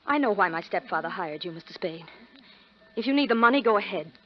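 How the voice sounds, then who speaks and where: An actress playing a cool, defiant young heiress, firm and dramatic, in 1940s radio style. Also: female voice, mid-range voice, expressive, quick pace, natural voice. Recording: muffled, noisy 1940s radio recording.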